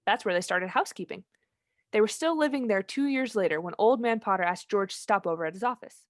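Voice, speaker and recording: A woman's voice: medium pitch, lively intonation, fast talker, natural voice. A woman playing a knowing heavenly storyteller in a radio play, narrating in a conversational way. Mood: storytelling.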